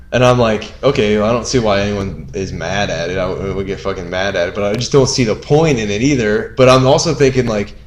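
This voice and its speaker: A man's voice: on the low side, a little fast. A man in his thirties co-hosting a podcast, telling rambling, profane stories in casual chat. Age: 30s. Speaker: podcast co-host. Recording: echoey room with faint hiss.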